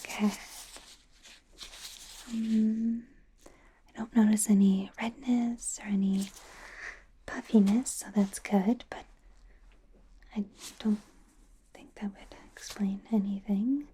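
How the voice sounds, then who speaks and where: A friendly, slightly bumbling female werewolf doctor, acted by a woman for an ASMR medical roleplay. She whispers softly and unhurriedly in a mellow tone, gently reassuring and often correcting herself. A faint noise sits behind the voice. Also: medium pitch, close to an everyday voice. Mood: flustered, sheepish. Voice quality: soft breathy whisper.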